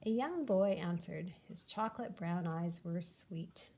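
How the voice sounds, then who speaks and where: A woman's voice: on the low side, measured pace, gravelly, mellow. A woman reading a children's folktale aloud as scripted narration. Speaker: storybook reader.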